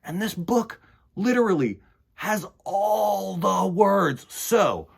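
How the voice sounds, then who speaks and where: Male children's storytime host, fairly high and husky, emphatic delivery. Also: lively intonation, slow pace. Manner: theatrical, emphatic, swings between loud bursts and hushed asides. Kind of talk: animated read-aloud and chat to children.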